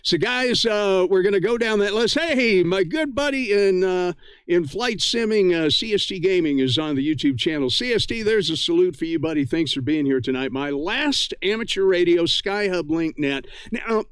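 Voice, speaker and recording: A man casually hosting a live ham radio net, friendly and effusively thankful, with halting, filler-heavy speech. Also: high voice, lively intonation, smooth, dry recording, clean studio sound.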